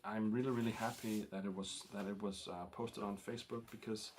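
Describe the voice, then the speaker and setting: A man's voice: on the low side, little pitch movement, fairly quick, background noise. A man with a Danish accent, a native Danish speaker, casually showing off records in a rambling vlog full of ums and uhs. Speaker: record collector vlogger.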